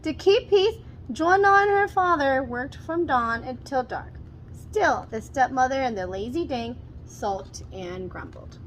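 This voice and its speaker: Female storyteller for expressive children's read-aloud, slightly high register, faint noise behind the voice. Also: measured pace, poor audio.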